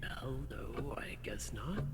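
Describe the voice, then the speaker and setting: A woman's voice: extremely low pitch, lively intonation, takes it slowly, in a whisper, soft background music, full character voice. A woman playing a grumpy, sarcastic, suspicious husband in a radio comedy, with a dry, exasperated manner. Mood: reluctant, wary. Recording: reverberant hall, faint background noise.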